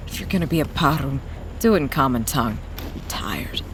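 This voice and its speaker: An actor playing a weary, haunted smuggler in an audio drama, reflective and quietly hopeful. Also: male voice, medium pitch, expressive, normal speed, background noise, natural voice. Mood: tired, terse. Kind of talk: audio drama narration and dialogue. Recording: loud music bed behind the voice.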